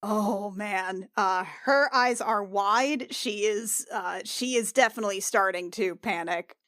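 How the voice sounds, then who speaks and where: A woman's voice: mid-range voice, slow pace, fairly bright tone, no room sound. Dry, close-miked woman playing a tabletop game. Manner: chatty, reacts with exclamations. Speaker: player. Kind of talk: casual tabletop game chat.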